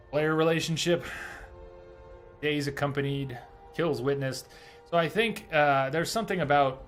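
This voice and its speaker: Chatty higher-pitched man streaming a game. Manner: chatty, reads menu text aloud while explaining. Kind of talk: casual live gameplay commentary.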